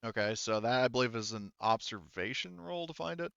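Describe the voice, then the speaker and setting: A man's voice: mid-range voice, lively intonation, fairly quick, creaky, a bit bright, clean tone, dry recording, dull audio. A man running a tabletop game as game master, talking casually and often rephrasing himself as he goes.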